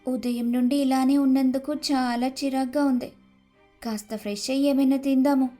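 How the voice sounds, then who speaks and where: A woman's voice: sits high, steady tone, moderate pace, dark tone, faint music behind, natural voice. A woman voicing an earnest, respectful young daughter-in-law in an acted moral story. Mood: weary.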